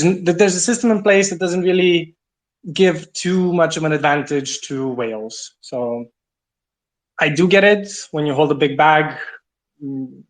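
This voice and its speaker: A man's voice: fairly high pitch, a bit bright. A man from Serbia hosting a casual online audio chat in a conversational, opinionated way. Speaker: host.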